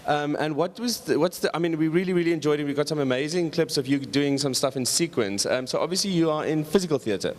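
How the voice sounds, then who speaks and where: Male host, quick and enthusiastic, stumbles, echoey space. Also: on the high side. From South Africa. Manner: fast, gushing, stumbling over words. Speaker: interviewer. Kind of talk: casual interview.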